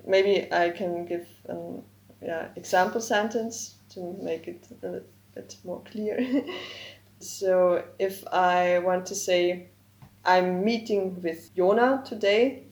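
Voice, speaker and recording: A woman's voice: lowish voice, a little mellow, reverberant. A woman whose first language is German, a podcast guest carefully explaining word forms in English. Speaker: guest, language project co-creator. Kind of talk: explaining grammar in a podcast interview.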